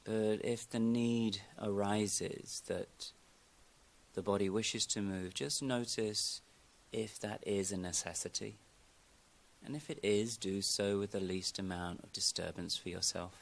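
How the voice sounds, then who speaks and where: A man with a deep voice and a marked creak leads a guided meditation. He gives gentle, calm instructions in the manner of a yoga teacher. His phrases are unhurried, with long pauses left between them.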